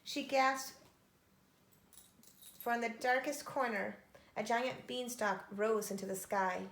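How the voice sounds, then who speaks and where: A woman reading a children's story aloud with expressive narration. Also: a little high, slow. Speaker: storybook reader. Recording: echoey room.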